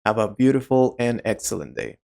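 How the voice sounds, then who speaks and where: A middle-aged man who speaks English as a second language, teaching learners on a podcast in short, simple, deliberate sentences. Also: on the low side, animated, vocal fry, darkish tone. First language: not English (English is second language). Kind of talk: slow educational podcast talk to learners.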